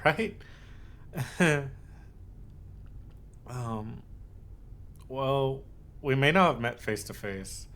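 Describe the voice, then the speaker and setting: A man acting out an obsessive, lovestruck voice actor in an intimate ASMR roleplay, speaking in a tender, doting and halting way. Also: medium pitch, natural voice. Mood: coaxing, intimate. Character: obsessive lovestruck male yandere voice actor, soft and possessive.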